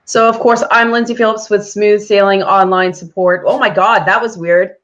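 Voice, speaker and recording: A woman co-hosting a casual live chat, her voice husky as she gets over a chesty cough. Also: mid-range voice, fairly even intonation, moderate pace, a bit bright. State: recovering from bronchitis with a cough. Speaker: co-host of a business live show. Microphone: live video call. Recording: muffled, lo-fi video stream.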